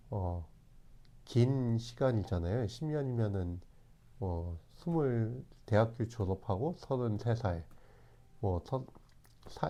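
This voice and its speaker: A man in his forties talking in a calm, reflective way, often pausing and restarting his sentences. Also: fairly low pitch. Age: 40s. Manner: calm, hesitant, with many restarts. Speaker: channel host. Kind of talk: reflective talk to camera.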